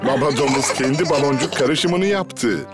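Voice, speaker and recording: A man's voice: fairly high pitch, measured pace. A man narrating a children's cartoon in a scripted storytelling style. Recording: loud music behind the voice.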